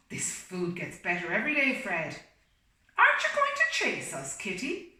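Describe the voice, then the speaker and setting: Woman putting on squawky teasing seagulls from a picture book, bright and sharp, kinda far from the mic. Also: on the high side, wildly swooping pitch, a little slow, pitched above the performer's own voice, heavily acted. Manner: teasing, mocking. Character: gulls, cheeky squabbling seabirds. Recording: echoey room, far from mic.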